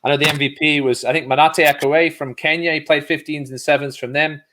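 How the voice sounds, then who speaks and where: A man's voice: fairly high pitch, fairly even intonation, brisk, pushed and bright, a little hiss or hum. A man hosting a sports show, talking casually as he interviews guests.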